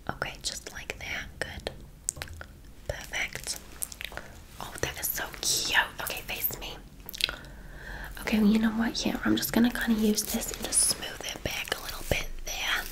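A woman's voice: fairly high pitch, close to an everyday voice, rough recording. A woman playing a gushing, affectionate, gossipy hairdresser in an ASMR roleplay, chatty and full of endearments. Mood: soothing, admiring. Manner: effusive, chatty, uses endearments.

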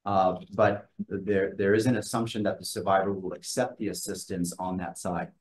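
A man speaking as an emergency management official at a hearing, formal and halting, with frequent fillers. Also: deep voice, little pitch movement, a little mellow. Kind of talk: testimony at a legislative hearing. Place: hearing room.

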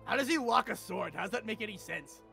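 A man's voice: high voice, animated, quick pace, vocal fry, pushed and bright, soft background music, full character voice. A man voicing a chatty, crude robot sidekick in an improvised comedy game fan dub, rambling and matter-of-fact.

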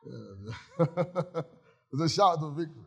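A middle-aged man preaching a sermon in a church auditorium, animated, with shouts and laughter, on a headset mic. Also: fairly high pitch, takes it slowly, gravelly, breathy edge. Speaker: pastor.

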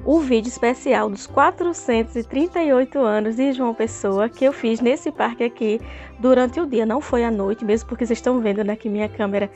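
A chatty woman vlogger talks straight to her viewers in a slightly raised, somewhat hoarse voice. She records on a phone held at arm's length in an outdoor park at night. Soft music plays in the background. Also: a little high, a little fast. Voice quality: hoarse at times. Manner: chatty, addresses viewers directly. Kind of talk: casual vlog tour narration. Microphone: phone camera at arm's length.

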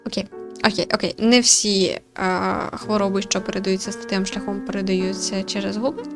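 A woman's voice: mid-range voice, fairly even intonation, brisk, music in the background. A woman reading a visual novel aloud as a let's player, with informal, playful commentary. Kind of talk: scripted narration with casual gaming commentary.

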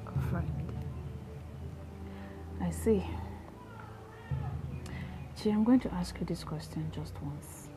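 An actress playing a suspicious best friend, questioning quietly. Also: female voice, on the low side, slow, pauses often, music in the background. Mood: probing.

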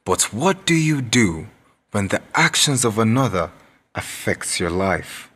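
A man with a Nigerian accent narrating a radio drama in a grave, measured, dramatic manner. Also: on the low side, big pitch swings, fairly bright tone, husky, full character voice. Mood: solemn. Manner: measured, dramatic, deliberate delivery.

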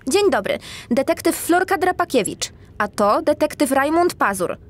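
A woman playing a businesslike, self-assured cat police detective in a children's audio drama, brisk and matter-of-fact. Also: high voice, animated, a little hiss or hum.

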